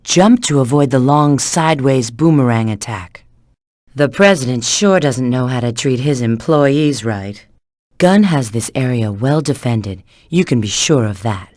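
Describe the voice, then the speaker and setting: A cool, flirty female bat treasure hunter from a video game. She gives knowing instructions in a very lively, swooping delivery. The sound is close and dry. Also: rumbling low, measured pace, no room sound. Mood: cool, instructive. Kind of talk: video game character voice acting.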